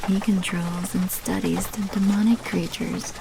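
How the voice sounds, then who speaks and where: A woman playing a sly, menacing vampire mafia manager in an ASMR roleplay, speaking in a hushed voice that often drops into whispers. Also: mid-range voice, fairly even intonation, measured pace. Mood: ominous, teasing. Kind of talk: ASMR roleplay, scripted character monologue. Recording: clearly noisy background.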